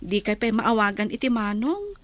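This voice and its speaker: A woman's voice: mid-range voice, big pitch swings, normal speed, natural voice. A woman with an Ilocano accent playing a shy, modest young canteen server in a radio comedy drama. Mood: bashful, polite. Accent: Ilocano Filipino.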